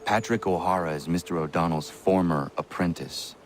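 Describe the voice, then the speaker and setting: An actor playing a stoic, earnest young colonial-era assassin in a video game, speaking in a stiff, formal way. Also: male voice, low voice, moderate pace, slight background noise, natural voice. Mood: resolute, formal. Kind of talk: video game character acting.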